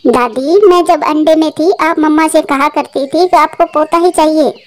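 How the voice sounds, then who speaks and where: A cheerful little bird child from a moral-story cartoon, acted by a woman. The voice is very high, eager and sweetly insistent, as if always asking a grown-up for something. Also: normal speed, lightly acted.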